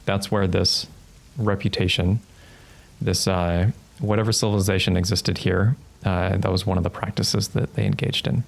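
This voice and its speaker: A man narrating a tabletop roleplaying game as game master, improvising scenes in a halting, thoughtful way. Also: sits low, flat delivery, gravelly.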